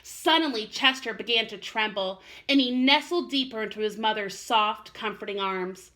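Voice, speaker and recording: Female primary teacher for read-alouds: bright, higher-pitched voice, expressive and encouraging delivery. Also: moderate pace. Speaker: first-grade teacher.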